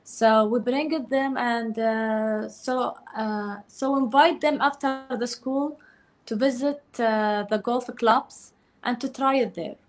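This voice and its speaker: A woman from Qatar with a Gulf Arabic accent, answering informally as a guest in halting, non-native phrasing. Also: fairly high pitch. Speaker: guest, golfer.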